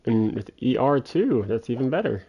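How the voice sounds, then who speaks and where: A man's voice: medium pitch, very animated, normal speed, lo-fi sound, a little hiss or hum. A man livestreaming a video game, casually thinking aloud and muttering to himself as he plays. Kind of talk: casual solo gameplay commentary, thinking aloud. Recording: faint game music behind voice. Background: faint video game music.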